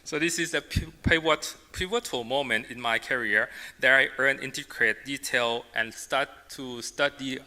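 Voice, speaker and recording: Bright-voiced Thai man lecturing in a hall. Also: mid-range voice. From Thailand. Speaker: artist and designer giving a symposium lecture. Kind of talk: public presentation in non-native English. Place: large indoor symposium hall. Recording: reverberant hall, amplified talk.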